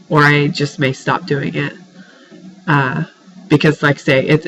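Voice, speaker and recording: A woman's voice: deep voice, low-quality recording. A woman teacher speaks informally to her students in recorded feedback. Her voice is stuffed up with a cold. She sounds exasperated and pleading, leaning firmly on her points. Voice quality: congested, stuffed-up nose. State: has a head cold. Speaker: writing teacher.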